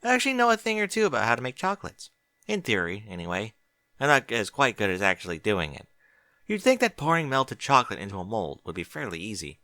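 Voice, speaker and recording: A man's voice: fairly low pitch, very animated, quick pace, pushed and bright, no echo, studio quality, exaggerated voice. A man voicing a bookish young female pony, chatty and precise, in a fan fiction audiobook. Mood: chatty, casual.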